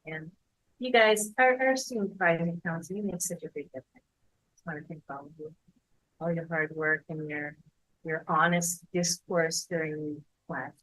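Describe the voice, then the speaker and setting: A woman board member giving effusive, halting thanks to a student council. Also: sits low, a bit bright, rough recording. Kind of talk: impromptu remarks.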